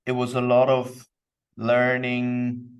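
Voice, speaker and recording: A man's voice: mid-range voice, monotone, slow pace. A man from Austria speaking as a podcast guest, explaining himself in an easygoing, reflective interview style. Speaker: podcast guest, company co-founder. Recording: distant microphone.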